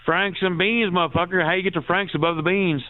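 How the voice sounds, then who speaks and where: A man's voice: high voice, fairly even intonation, a little fast. A man from the American Midwest, brash and profane, trading crude jokes as a prank caller on a live call-in show. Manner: brash, profane, mock-sultry. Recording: tinny phone-line audio.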